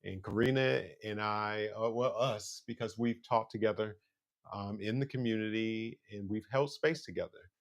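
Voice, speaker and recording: A man's voice: lowish voice, moderate pace. A man hosting a mindfulness talk show, upbeat and affirming as he greets listeners and introduces a guest. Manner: upbeat, affirming, frequent "mm-hmm". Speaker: host.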